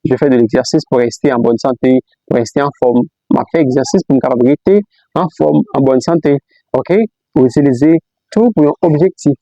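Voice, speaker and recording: A man teaching a beginner language lesson, repeating example sentences slowly and checking in with "ok?" and "let's go, guys". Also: mid-range voice, mellow, no room sound. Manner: repeats example sentences slowly, switches between Creole, French and English; frequent "ok?" and "let's go, guys" prompts. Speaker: English teacher. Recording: dull lo-fi dry recording.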